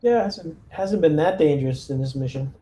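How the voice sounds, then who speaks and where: A man's voice: a little high, brisk, warm tone, a little hiss or hum. A man chatting casually as a player in a tabletop game, recorded in an echoey room.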